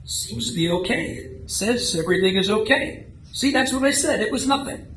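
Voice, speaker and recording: A man's voice: sits high, animated, moderate pace, a bit bright. A man playing an anxious, health-worried office worker in a radio play, hesitant and stammering. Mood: shaky reassurance. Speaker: amateur radio drama actor. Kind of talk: live radio play reading. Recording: echoey room, faint background noise.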